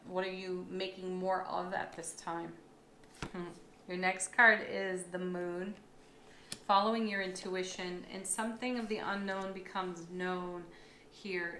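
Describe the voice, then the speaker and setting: A woman's voice: medium pitch, a bit bright, slight background noise. A woman with a slightly froggy, tired voice gives a tarot reading, upbeat and affirming, with thoughtful asides. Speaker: tarot reader. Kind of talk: monthly astrology tarot reading. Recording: echoey room with faint ambience.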